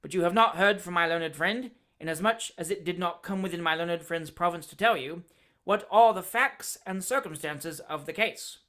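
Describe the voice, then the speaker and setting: A man's voice: moderate pace, bright, forceful tone. A man doing a pompous courtroom barrister. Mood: grandiloquent. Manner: grandiloquent, booming oratory.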